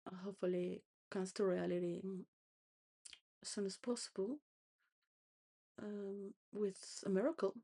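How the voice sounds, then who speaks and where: A woman's voice: mid-range voice, fairly even intonation, a little slow, long pauses, mellow, husky. A woman rambling through a personal monologue, full of restarts and wandering thoughts, sometimes laughing between phrases. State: homeless.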